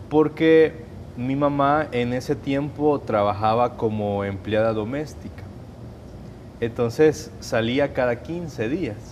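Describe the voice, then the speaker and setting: Salvadoran man giving measured testimony. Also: medium pitch, darkish tone, a little hiss or hum. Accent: Salvadoran Spanish. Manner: measured, hesitant, with restarts and fillers. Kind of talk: personal testimony in an interview.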